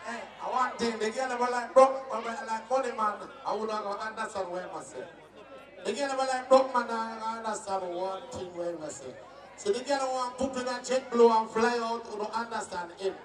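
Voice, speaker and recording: A Jamaican man talks insistently on stage in a very high pitch, repeating himself. He sounds distant and echoey, with a noisy crowd around him. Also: moderate pace. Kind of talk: live stage hype talk. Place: outdoor stage at night beach party. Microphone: stage microphone through PA.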